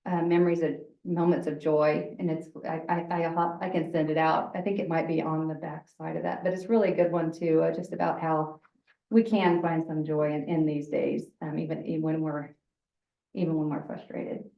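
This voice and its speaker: A woman with a fairly low voice leads a relaxed workshop, talking loudly and easily with frequent laughter. She sounds a little distant from the microphone, in an echoey room, and the sound is slightly dull. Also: moderate pace, vocal fry. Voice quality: lower voice. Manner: loud, chatty, laughs often. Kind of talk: informal workshop talk.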